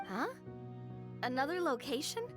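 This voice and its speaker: Young, theatrical, easily startled woman for a game. Extremely high voice, expressive. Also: moderate pace. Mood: surprised. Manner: exclamatory, dramatic. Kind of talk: video game character dialogue. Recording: loud music behind the voice.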